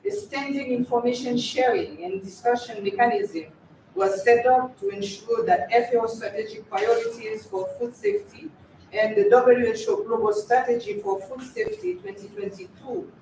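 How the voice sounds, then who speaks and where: A woman reading a formal prepared speech as an agency representative, slow and careful. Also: fairly high pitch, very animated, darkish tone. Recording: echoey hall from far off with noise.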